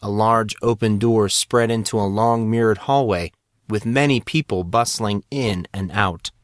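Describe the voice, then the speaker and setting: A man's voice: fairly low pitch, measured pace, dry recording. Man narrating fiction in a dry room. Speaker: audiobook narrator.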